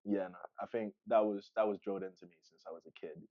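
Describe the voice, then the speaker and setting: A man's voice: lowish voice, fairly even intonation, fast talker, no echo, dull audio. A young man, chatting casually and informally as a podcast host. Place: podcast studio.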